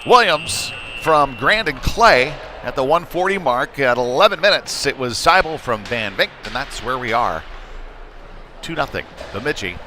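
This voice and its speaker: A man doing live play-by-play hockey commentary in a halting, conversational way, with frequent uhs. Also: medium pitch, animated, sharp tone. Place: indoor ice rink. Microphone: wired broadcast microphone. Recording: clear rink noise behind the voice.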